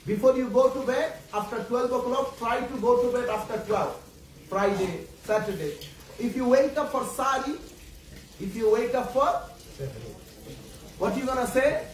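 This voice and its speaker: High-voiced man preaching in an echoey room. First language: Bengali. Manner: halting, repetitive, searching for words; asks the audience questions. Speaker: Islamic preacher. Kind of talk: sermon to children. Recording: echoey room, distant mic, faint background noise.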